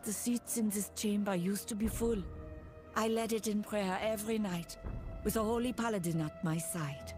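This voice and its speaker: A woman's voice: mid-range voice, steady tone, moderate pace, close to an everyday voice. A woman playing an earnest, burdened village priestess in a video game, solemn and confiding. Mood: weary, sorrowful. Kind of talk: video game dialogue acting. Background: game music.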